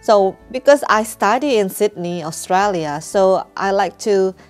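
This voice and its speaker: A woman's voice: mid-range voice, expressive, measured pace, faint music behind. A woman in her thirties from Indonesia with an Indonesian accent, hosting a channel and teaching English in a friendly, anecdotal way. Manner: friendly, anecdotal, with easy laughs and restarts. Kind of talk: casual teaching talk.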